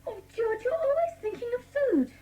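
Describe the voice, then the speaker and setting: Very high-pitched eager schoolgirl. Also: expressive, moderate pace, mellow. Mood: excitable. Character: eager young schoolgirl, excitable and chatty. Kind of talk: dramatised children's audiobook acting.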